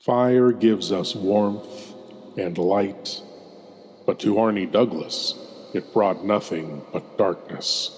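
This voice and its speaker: A man narrating as a horror host, slow, deliberate and theatrically ominous. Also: on the low side, darkish tone. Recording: long reverb, faint background noise.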